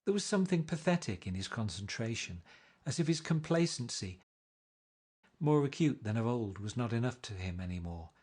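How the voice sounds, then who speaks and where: Brief: male narrator, mid pitch, measured read-along storytelling. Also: very animated, darkish tone, breathy edge. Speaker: reader. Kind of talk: reading a novel aloud.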